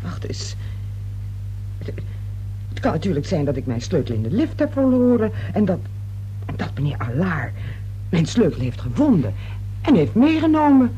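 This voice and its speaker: Nervous woman, swooping pitch, soft-edged. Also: very animated, normal speed, pauses often, muffled recording. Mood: insistent, uneasy. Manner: hesitant, protesting, insistent. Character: nervous, defensive single woman under police questioning. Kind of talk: radio play acting. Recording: radio play recording with hiss and hum.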